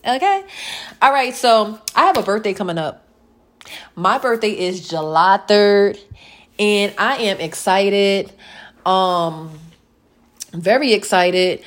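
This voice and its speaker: A woman in her late thirties hosting a casual solo podcast, chatty and playful, repeating words and filling pauses with um. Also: mid-range voice. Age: late 30s. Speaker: podcast host.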